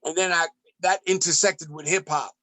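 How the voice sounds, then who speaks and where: Interview guest, male poet-musician, higher bright voice, measured rhythmic delivery, dry sound. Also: fairly even intonation, dry recording. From United States, raised in Ethiopia. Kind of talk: reflective conversational interview.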